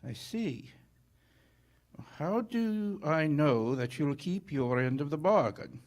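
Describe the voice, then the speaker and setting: A man plays a suave, corrupt police official in a 1940s-style radio drama. His voice sits high for a man. He takes his time, sly and calculating with every phrase. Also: expressive, slow pace. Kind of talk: 1940s radio theater acting.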